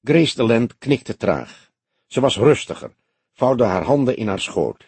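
Husky, expressive male audiobook narrator. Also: mid-range voice, unhurried, no room sound. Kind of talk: expressive scripted narration of a detective novel.

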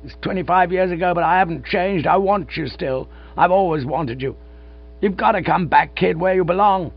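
A man's voice: mid-range voice, moderate pace, pitched above the performer's own voice, lightly acted. Man doing a blustering old stage veteran. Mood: ardent. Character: old male stage veteran, gruff and devoted. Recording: dull lo-fi with mains hum.

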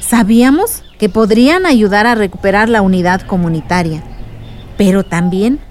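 Una actriz de radionovela que interpreta a una tía resuelta que cuenta y explica sus vivencias a su sobrina. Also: female voice, medium pitch, lively intonation, normal speed, slight background noise, lightly acted. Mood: reflective, explanatory. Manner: storytelling, explanatory. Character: aunt recounting past dangers to her niece, warm, resolute. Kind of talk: radio drama acting.

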